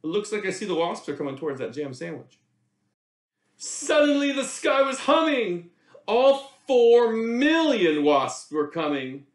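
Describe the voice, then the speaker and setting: A man's voice: sits high, unhurried, roomy sound. A middle-aged American man reading a picture book aloud to children with theatrical expression and chatty asides in a small room. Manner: animated, theatrical, addresses young listeners directly. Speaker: children's read-aloud presenter.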